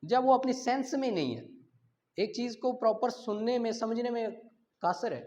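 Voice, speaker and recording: High-pitched Pakistani man lecturing, dull recording. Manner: didactic, checking students understand, mixing Urdu explanation with English legal terms. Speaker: law lecturer. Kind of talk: classroom lecture, reading articles aloud then explaining.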